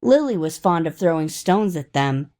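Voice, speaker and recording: A woman's voice: sits low, expressive, moderate pace, a little mellow. A woman reading a children's story aloud as a storyteller. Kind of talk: children's story read-along narration.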